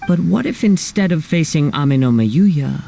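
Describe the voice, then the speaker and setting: An actor playing a calm, thoughtful young swordsman in a video game, speaking in a measured, formal, analytical way. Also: on the high side, creaky, a little hiss or hum. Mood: calm deduction.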